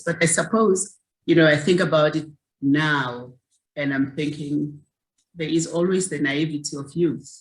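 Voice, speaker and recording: A woman's voice: sits low, rough recording. A woman in her sixties from South Africa, a community activist telling her story in an interview in an earnest way, often repeating words as she searches for them.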